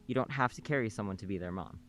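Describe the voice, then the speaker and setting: An actor playing a kind neighbor who reassures and gently persuades. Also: male voice, fairly low pitch, very fast, soft background music, lightly acted. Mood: reassuring. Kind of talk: radio play acting.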